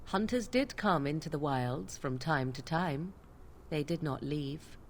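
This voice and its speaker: A scornful young game witch; slightly low voice, cold and cutting. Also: expressive, a little slow, slight background noise. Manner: cool, cutting, disdainful.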